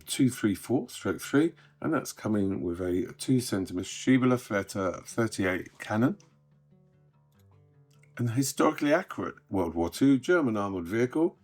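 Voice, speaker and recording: Croaky deep-voiced man. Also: darkish tone. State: croaky from a recent illness. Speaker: host. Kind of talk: casual scripted hobby news update.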